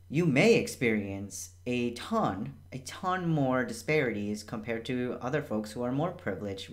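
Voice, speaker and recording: A young man born in Bangladesh, a physician, giving a casual and earnest health explainer from a small room at home. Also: medium pitch. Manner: casual, chatty and earnest, with emphatic repeats. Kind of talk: educational health vlog.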